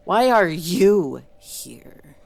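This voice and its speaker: Woman as an ancient female dragon soul, very slow, low-pitched, bitter, taunting, theatrical audio drama. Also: very animated, vocal fry, darkish tone, soft background music, exaggerated voice. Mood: cold, suspicious.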